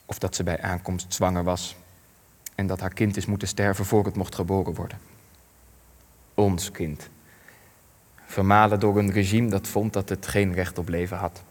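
An actor playing an elderly war survivor in a radio play, grieving and ashamed, speaking in measured, weighty phrases. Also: male voice, low-pitched. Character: elderly male war survivor, grief-stricken, ashamed, plain-spoken. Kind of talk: radio play acting, testimony. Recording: long hall-like reverb.

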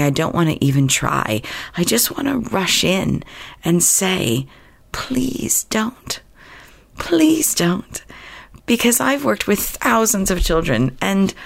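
A woman hosts a podcast while sick, which leaves her voice husky and far lower than usual. Gravelly fry runs through her talk. She speaks in a chatty way, restarting words now and then. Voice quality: husky low voice. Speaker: podcast host.

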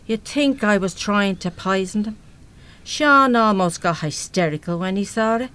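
A woman's voice: mid-range voice, measured pace, a bit bright, smooth, close to an everyday voice. An actress with an Irish accent playing a brash, big-hearted, uneducated working-class mother in a live radio play, talking bluntly and colloquially with mild swearing. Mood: wry, grumbling.

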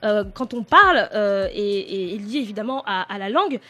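A woman's voice: mid-range voice, expressive, moderate pace, bright, forceful tone. A woman moderating a casual panel discussion, often hesitant and restarting as she frames questions. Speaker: podcast host and moderator.

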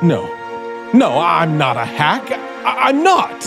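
A man's voice: on the high side, huge pitch swings, takes it slowly, gravelly, over music, full character voice. A man acting in a radio drama as a bitter, vengeful scriptwriter with a sardonic, theatrical manner. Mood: wounded, desperate. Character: bitter, vengeful male radio scriptwriter turned would-be murderer.

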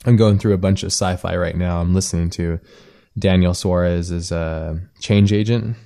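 A man's voice: low-pitched, fairly even intonation, normal speed, gravelly, warm tone. A man hosting a casual interview, rambling a little as he searches for words. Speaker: podcast host and interviewer.

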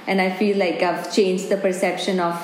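A woman's voice: fairly low pitch, flat delivery, moderate pace, a little hiss or hum. A woman in her forties from India with an Indian accent, a business owner answering interview questions in an earnest, reflective way with many "you know"s. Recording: roomy, with faint background ambience.

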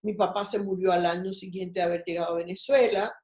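A woman's voice: lowish voice, fairly even intonation, fast, a little mellow, reverberant. A Cuban-born older woman, a guest on a call, telling her life story in a rambling, personal way with many restarts. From Cuba. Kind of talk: casual interview over a call.

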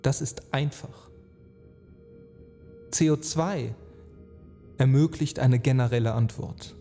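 A man narrating an earnest, reflective spoken essay, phrasing deliberately and emphatically with rhetorical weight. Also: mid-range voice, warm tone. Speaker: speaker and author on climate and sustainability. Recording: long reverb, music underneath. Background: music.

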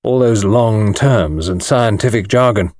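A man's voice: lowish voice, measured pace, darkish tone, no echo. A man with a British accent reading a mystery novel as scripted narration. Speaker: audiobook narrator.

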